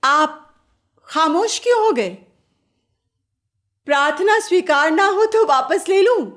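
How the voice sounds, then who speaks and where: Radio play role: headstrong young heroine, high-pitched, unhurried, formal and theatrical. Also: female voice, expressive, fairly bright tone, exaggerated voice. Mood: teasing, poised. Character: spirited, curious young woman, polite but headstrong. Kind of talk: Hindi radio play acting.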